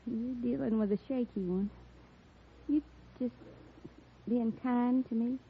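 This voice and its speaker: A woman playing a rough-talking young frontier tomboy with an unpolished backwoods Western dialect in an old radio drama. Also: a little high, fairly even intonation, takes it slowly, a little hiss or hum. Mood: shaky, wary. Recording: muffled narrowband vintage radio recording.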